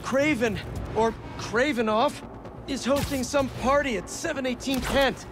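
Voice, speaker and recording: An actor playing a young male superhero in a video game, earnest, friendly and quick to joke. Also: very high voice, music in the background. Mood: upbeat, wry. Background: game soundtrack.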